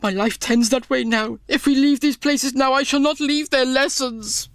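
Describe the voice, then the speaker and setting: An actor playing a repentant old miser in a radio play, speaking in an impassioned, theatrical way. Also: male voice, very high voice, steady tone, normal speed, fairly bright tone, smooth, dry recording. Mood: humbled. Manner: impassioned, declamatory, pleading. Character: repentant old male miser, fearful then giddy with joy. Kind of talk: theatrical radio drama acting.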